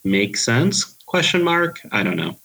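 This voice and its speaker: A man from Queens with a New York accent, co-hosting a podcast in a sleepy, deadpan monotone. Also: medium pitch, unhurried, creaky, low-quality recording. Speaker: podcast co-host. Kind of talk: casual chat.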